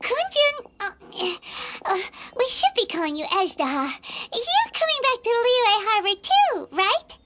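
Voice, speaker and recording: A woman playing a tiny, excitable, childlike fairy companion in a video game, with a squeaky cartoonish voice. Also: slow pace, hardly any pauses, very noisy, exaggerated voice. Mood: hesitant.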